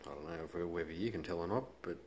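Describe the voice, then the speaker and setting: Low-voiced Australian man, noisy recording. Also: fast talker, darkish tone. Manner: laid-back, colloquial, mild swearing. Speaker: hobbyist demonstrator. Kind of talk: casual hands-on how-to commentary. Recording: noisy handheld home video.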